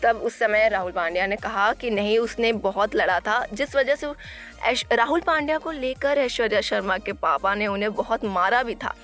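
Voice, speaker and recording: A woman presenting entertainment gossip in an informal narration, halting and often repeating or correcting herself. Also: mid-range voice, a bit bright, faint music behind. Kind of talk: informal celebrity news narration.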